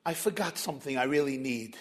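A man voicing a weary old man returned from heaven, speaking slowly, softly and plaintively. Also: mid-range voice, pitched below the performer's own voice, lightly acted. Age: older. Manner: slow, soft and plaintive. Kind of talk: storytelling.

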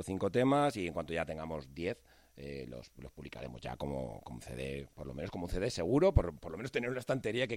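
A man with a Madrid accent talks casually in an interview. His voice is very low and his delivery is rapid, chatty and joking. The sound is dry and close. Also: darkish tone, no room sound. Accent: Madrid Spanish. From Spain, Madrid. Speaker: musician, band member interviewed.

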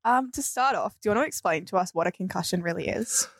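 A young Australian woman with a husky voice and plenty of vocal fry. She is co-hosting a radio interview and putting questions to a guest. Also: on the low side, expressive, fast talker. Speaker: radio co-host.

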